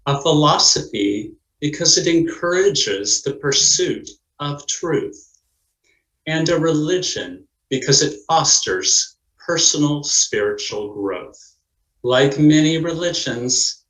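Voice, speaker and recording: A man delivers scripted religious narration in formal, measured phrasing. He speaks slowly, and the sound is roomy with a clear echo. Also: mid-range voice, fairly bright tone.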